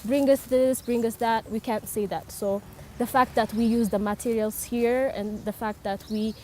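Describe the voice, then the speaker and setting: A woman's voice: a little high, steady tone, normal speed, darkish tone, slight background noise. A woman workshop participant explaining her view thoughtfully in a casual interview.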